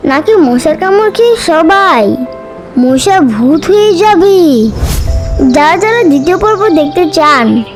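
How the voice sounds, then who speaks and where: A woman's voice: very high voice, lively intonation, takes it slowly. A woman narrating a scripted children's cartoon story. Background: music.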